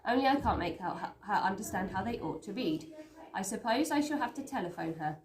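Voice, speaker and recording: A woman voicing a bossy, excitable upper-class English schoolgirl who talks in breathless, imperious rushes. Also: mid-range voice, roomy sound, a crowd in the background, lightly acted. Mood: exasperated.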